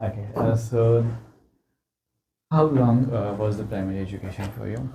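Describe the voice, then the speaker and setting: A man's voice: on the low side, moderate pace, vocal fry, dark tone. A man interviewing a guest, asking questions and adding short prompts and backchannels.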